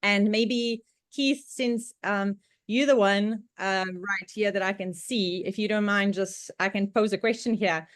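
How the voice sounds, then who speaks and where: A woman's voice: mid-range voice, steady tone, a little slow, no room sound, clean studio sound. A woman from the Czech Republic with a Czech accent, presenting on a video call in a chatty way, with frequent laughs and many fillers. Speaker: guest presenter. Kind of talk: online club presentation.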